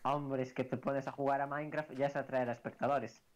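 A man's voice: mid-range voice, level tone, lo-fi sound. A young man with a Galician accent, swearing and joking casually with friends during a game. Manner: sweary, joking, quick to laugh. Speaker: gamer in a streamed voice chat. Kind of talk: casual banter while gaming. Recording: noisy voice chat with clicks.

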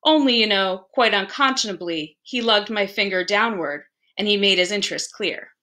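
A woman reading a story aloud as narrator, with deliberate, theatrical phrasing. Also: mid-range voice, pushed and bright. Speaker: library staff reader.

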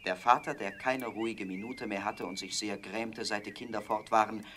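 Male storyteller, children's fairy tale, dramatic and measured, forceful bright tone, noise behind him. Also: fairly low pitch, steady tone. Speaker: narrator.

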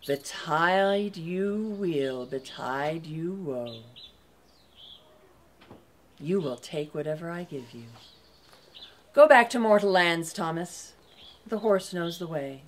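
A woman's voice: fairly low pitch, measured pace, slight background noise, pitched below the performer's own voice, lightly acted. A woman voicing a stately, commanding faerie queen in a storybook tale. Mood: stern.

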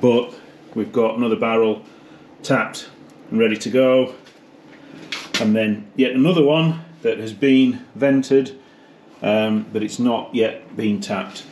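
A man's voice: medium pitch, fairly even intonation, takes it slowly, a little mellow, slight background noise. A British man giving a casual, matter-of-fact running commentary as a pub landlord in a behind-the-scenes vlog. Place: inside a pub and its cellar.

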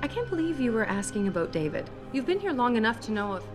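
A woman's voice: a little high, very animated, quick pace. A woman playing an earnest, idealistic research scientist in a video game, speaking articulately. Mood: businesslike. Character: earnest, idealistic female research scientist, quick-minded doctor. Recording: game audio with music behind.

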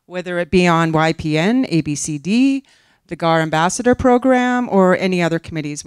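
A woman's voice: on the low side, clear, resonant tone, no room sound, clean studio sound. Earnest Canadian woman reading a speech. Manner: earnest, with occasional fillers. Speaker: candidate for a board seat. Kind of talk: reading a prepared campaign speech. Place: conference room, in front of a backdrop. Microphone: handheld mic at a podium.

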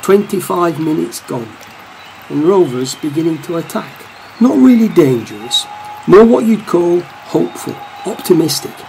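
Male narrator for radio play: actor as devoted, wry football fan, gravelly voice, slight background noise. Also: a little high, big pitch swings, measured pace, darkish tone, heavily acted, rough recording. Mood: dry commentary. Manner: wry, commentary-like storytelling.

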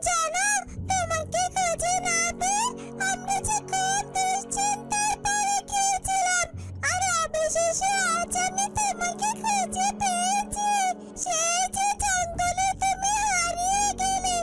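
A performer voicing a small, worried, devoted creature in a fairytale cartoon, with a plaintive, drawn-out delivery. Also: very high voice, level tone. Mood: relieved, plaintive. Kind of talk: Bengali fairytale cartoon dubbing. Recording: music behind the voice.

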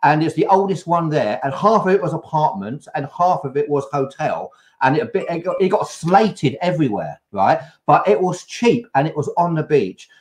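An older man with a fairly high-pitched voice spins an animated, anecdotal story. He is hosting a casual video-call chat from home and talks loosely and warmly to his guests. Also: moderate pace, few pauses. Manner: lively anecdotal storytelling with laughs. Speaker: host.

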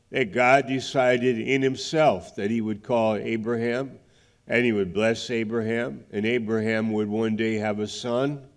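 A middle-aged male pastor preaching a sermon in a large church, explaining points carefully and often restarting a phrase. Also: medium pitch. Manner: explanatory, restarts mid-phrase, gestures broadly. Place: large church sanctuary, indoors. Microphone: headset mic.

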